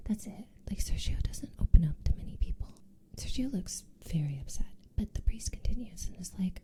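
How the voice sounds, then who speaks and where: A woman's voice: mid-range voice, expressive, fairly quick. Whispering woman recapping a story. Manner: casual, lots of "like".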